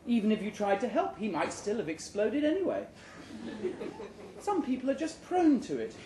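A man plays a soothing, matter-of-fact wife from a comic short story. The voice is raised and lilting with big swings in pitch, breezily consoling. It rings in a roomy live venue. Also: very animated, normal speed. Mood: breezy consoling. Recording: roomy live venue recording with background noise.

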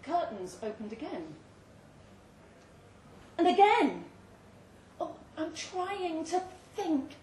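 A woman giving an expressive, theatrical reading of a suspenseful short story at a live indoor event. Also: very high-pitched, slow pace, long pauses. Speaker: story reader. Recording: roomy live venue, distant mic, faint noise.

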